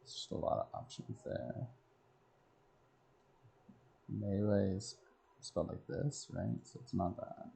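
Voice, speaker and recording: A man's voice: on the low side, fairly even intonation, takes it slowly, gravelly, long pauses, warm tone. A man streaming casually, thinking aloud and muttering to himself in short fragments. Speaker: game streamer.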